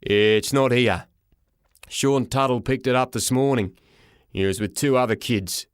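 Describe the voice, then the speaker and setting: A man voicing a surly, bad-tempered railway porter in an audiobook story, with a deep growl and slow, curt delivery. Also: clean tone, no echo, studio quality, lightly acted. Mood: dismissive.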